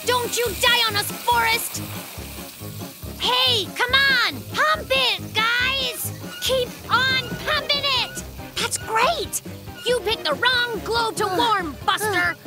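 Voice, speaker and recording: A performer voicing an excitable little cartoon bear, a boastful, melodramatic inventor who exclaims and stretches out words. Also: very high-pitched, slow pace, over loud music. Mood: dramatic, urgent.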